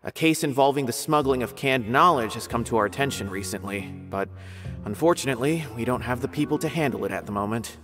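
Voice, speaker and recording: A man plays an earnest officer in a video game. His mid-pitched voice is formal and measured, politely laying things out over background music. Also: animated, lightly acted. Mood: polite, concerned. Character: earnest, courteous male academy law officer. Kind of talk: video game dialogue, explanatory.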